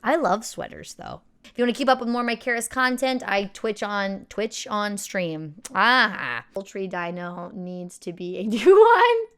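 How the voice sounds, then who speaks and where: A woman's voice: mid-range voice, animated, measured pace, a bit bright, clean tone. A woman hosting a reaction video, chatting in a bubbly, rambling, playful way. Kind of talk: casual chat.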